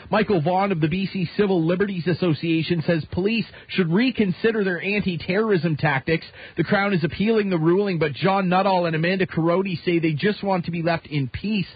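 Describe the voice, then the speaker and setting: A man's voice: sits high, slightly rough, no room sound, highs cut off. A Canadian man reading a scripted newscast as a radio news anchor. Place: radio studio.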